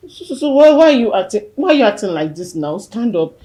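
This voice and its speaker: A woman's voice: mid-range voice, takes it slowly, reverberant, natural voice. A woman acting a grief-stricken young princess in a melodramatic film, with a Nigerian accent and a pleading, wailing delivery. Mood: pleading, distressed.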